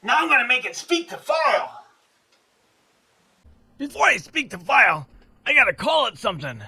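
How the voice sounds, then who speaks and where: A man's voice: extremely high pitch, big pitch swings, normal speed, creaky, bright, forceful tone, distant mic. A man presenting an upbeat tutorial as an instructor, with goofy, exaggerated exclamations and asides.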